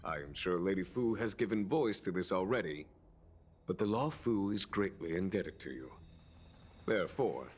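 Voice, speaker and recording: Man playing a low-voiced, dignified fantasy general. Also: natural voice. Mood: formal, gracious. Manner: formal, measured, ceremonious. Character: calm, courteous, dignified male general of a fantasy fleet. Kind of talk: scripted video game voice acting.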